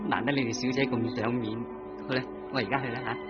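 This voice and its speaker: Man as dissolute young painter, low hushed voice, radio play, dull lo-fi sound, loud music under. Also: very animated, normal speed, natural voice. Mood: tempted. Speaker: radio drama actor. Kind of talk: 1950s-60s radio play acting. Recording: dull lo-fi old radio recording. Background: loud music score.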